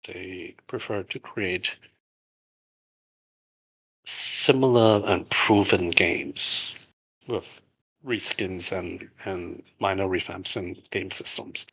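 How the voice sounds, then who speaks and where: Halting Cantonese-native man, bright husky voice. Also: low voice, poor audio. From Hong Kong. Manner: halting, many fillers and restarts. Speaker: game studio founder answering community questions. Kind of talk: casual AMA, reading and answering questions.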